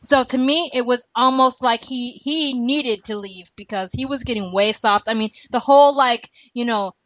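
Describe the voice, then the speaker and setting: A woman's voice: a little high, normal speed, no room sound, highs cut off. A woman co-hosting a casual fan podcast, talking in a playful, slangy way. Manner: animated fan talk with slangy, playful wording. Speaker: podcast host. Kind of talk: casual podcast chat about a TV show.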